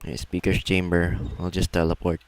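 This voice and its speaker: Low-voiced man streaming a game. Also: flat delivery, normal speed, vocal fry, poor audio. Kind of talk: casual live game commentary, reading dialogue aloud. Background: game music.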